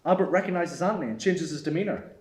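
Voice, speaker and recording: A man's voice: fairly high pitch, animated, fast, darkish tone. A man reading stage directions as narrator at a live screenplay table read. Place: theatre stage. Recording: roomy live theatre stage.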